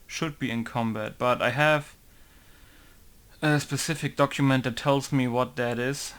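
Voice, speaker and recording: A man's voice: medium pitch, steady tone, a little slow, a bit bright, clean tone. Male modder narrating a casual tutorial, mid-range voice, rambling, thinks aloud, leans on filler words. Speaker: game modder walking through a tutorial. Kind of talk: casual screen-recorded tutorial.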